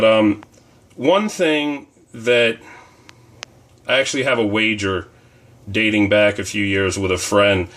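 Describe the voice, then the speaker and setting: A man with a slightly low voice, hoarse from fighting off a cold. He chats loosely to camera in a casual vlog, wandering through his thoughts with plenty of ums and uhs. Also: fairly bright tone. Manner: rambling, conversational, frequent ums and uhs. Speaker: comic collecting vlogger.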